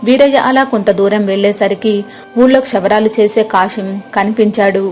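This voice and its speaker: A woman narrating a moral story and voicing the village wife, in a gentle, plain, homely way. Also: medium pitch, fairly quick, faint music behind.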